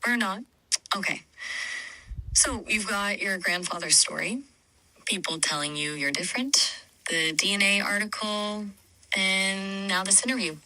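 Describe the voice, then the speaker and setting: A woman's voice in an AI chat, calmly summarizing what she hears and gently asking follow-up questions. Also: mid-range voice, measured pace, long pauses, poor audio. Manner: calm, summarizing, gently probing. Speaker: AI chat partner. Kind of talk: conversational questioning.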